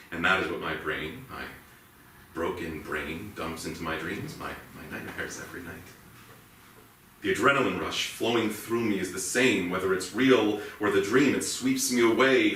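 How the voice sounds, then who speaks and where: Man acting a low-voiced haunted policeman, echoey stage. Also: bright, forceful tone. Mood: haunted, brooding. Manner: brooding, halting, emotionally intense. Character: haunted, guilt-ridden policeman, husband and father. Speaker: actor in a staged reading. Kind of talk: dramatic theatrical monologue. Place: indoor theatre stage. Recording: echoey theatre, distant mic, faint noise.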